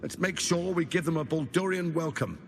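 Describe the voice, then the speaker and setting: A man's voice: fairly high pitch, fairly quick, a little hiss or hum, natural voice. A man playing a haughty, cold, scheming lord in a video game, condescending and commanding in a theatrical way. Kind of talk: dramatic video game acting.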